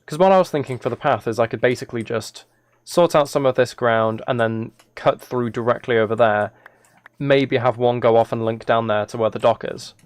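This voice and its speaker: A man's voice: mid-range voice, a little fast, no echo. A man giving casual gaming livestream commentary, thinking aloud and correcting himself as he chats with viewers. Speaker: live streamer.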